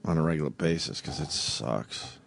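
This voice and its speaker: A man hosting morning talk radio, crude and joking, laughing often, with a voice he says reflux has deepened. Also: normal speed, vocal fry. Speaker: radio show host. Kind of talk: casual morning radio banter. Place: radio studio.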